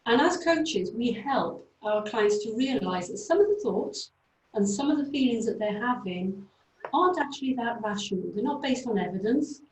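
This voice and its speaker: A woman with a Welsh accent giving a keynote talk on a video call, speaking quickly and explaining with fillers. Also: fairly high pitch, animated, low-quality recording. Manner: fast-talking, explanatory, with fillers. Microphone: computer microphone on a video call.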